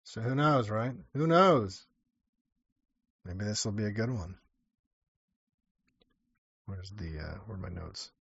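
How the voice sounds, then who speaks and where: A man's voice: lowish voice, slow, vocal fry, pauses often, fairly bright tone, husky, no room sound, highs cut off. A man hosting a livestream, reading viewer comments and riffing in a rambling, sardonic way. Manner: rambling, sardonic, many restarts and profanity. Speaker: livestream host.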